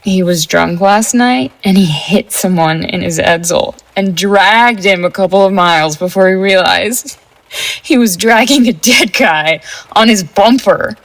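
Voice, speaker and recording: A woman playing a flippant, stubborn teenage gang member in a 1950s audio drama, with an offhand, halting delivery. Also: mid-range voice, natural voice. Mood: gossipy.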